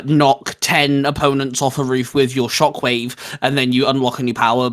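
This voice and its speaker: A man speaks as the host of a gaming video, in a casual commentary style. His pitch sits in an ordinary middle range for a man, and he talks conversationally. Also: fairly bright tone. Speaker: host of a video game ranking show.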